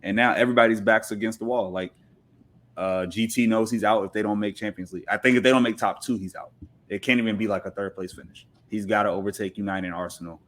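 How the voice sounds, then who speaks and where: A man's voice: on the low side, steady tone, fast, fairly bright tone. A man talking casually and with strong opinions as a podcast guest, making emphatic points with rhetorical questions and repeats. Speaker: podcast guest, football pundit. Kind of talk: opinionated casual sports talk.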